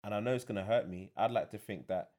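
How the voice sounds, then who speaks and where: Deep-voiced young man. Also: steady tone, fast talker, a little mellow. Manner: reflective, with many fillers. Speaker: guest. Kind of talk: casual chat.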